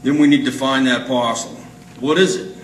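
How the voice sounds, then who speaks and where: A wary hard-boiled sidekick from a 1940s radio mystery played by a man, blunt in his talk, with a medium pitch. He sounds far from the mic in an echoey room with faint noise. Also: steady tone, normal speed, lightly acted. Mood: practical. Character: wary, gruff-talking noir sidekick man. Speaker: amateur stage radio-drama actor. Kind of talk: 1940s radio noir acting. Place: live performance in an art gallery.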